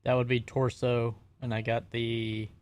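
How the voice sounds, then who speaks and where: A man's voice: medium pitch, level tone, measured pace, a crowd in the background. A man playing a tabletop roleplaying game, chatting casually at the table.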